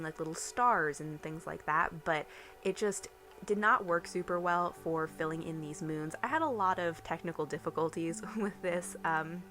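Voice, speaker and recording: A young woman with a slight cold talks through a relaxed home voiceover, rambling and full of small fillers. Her voice sits a little low for a woman. Clear background music plays beneath her the whole time. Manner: chatty, rambling, lots of ums and likes. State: has a cold. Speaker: bullet journal vlogger. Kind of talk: casual voiceover narration. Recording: soft background music under voice.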